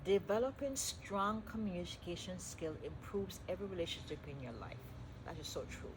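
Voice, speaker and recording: A middle-aged woman from Jamaica with a Jamaican accent, pitched a little low, reading self-help tips and riffing on them in a chatty, self-correcting way. Steady background noise sits under her voice throughout the recording. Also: normal speed. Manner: chatty, stops to correct herself. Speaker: vlogger. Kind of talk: reading tips aloud and commenting.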